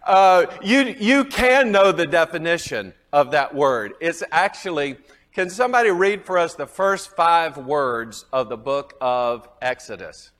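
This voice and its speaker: A man's voice: a little high, animated, measured pace, a bit bright, big-room sound. A man with a Southern US accent teaching a Bible class, chuckling often and quizzing his students.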